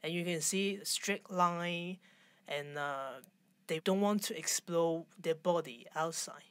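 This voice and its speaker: Low-pitched Hong Kong woman in interview. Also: fairly bright tone, smooth, dry recording. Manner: halting non-native English phrasing. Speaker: interview guest, fashion designer. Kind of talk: conversational interview.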